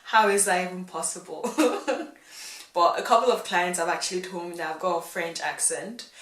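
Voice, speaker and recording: Young woman, casual vlog chat, lowish voice, animated and laughing, echoey room. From England and Nigeria. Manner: chatty, animated with hand gestures, laughs often. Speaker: vlogger. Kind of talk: casual talking-head vlog. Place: indoors against a plain grey wall. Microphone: camera in front, head-and-shoulders framing.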